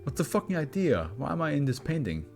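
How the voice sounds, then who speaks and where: A man's voice: fairly high pitch, sing-song, fast talker, creaky, darkish tone, voice pushed up. A condemned male convict, acted out by a man reading a story, volatile and intense. He is agitated and coming apart, with faint music underneath. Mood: panicked. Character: foul-mouthed condemned male prisoner, volatile, unravelling.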